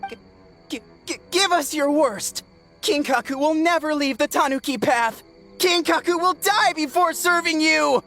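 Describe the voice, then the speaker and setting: A woman voicing an agitated, childish and defiant young tanuki creature in an animated game, stammering and indignant in short exclamations. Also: high voice, normal speed, soft background music. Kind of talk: animated game cutscene acting.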